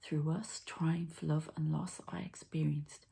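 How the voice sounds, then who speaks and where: A woman whispering gently and breathily as she hosts an unhurried, hushed ASMR energy-healing session. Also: sits low, flat delivery, gravelly, a little hiss or hum. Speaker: ASMR host and energy healer.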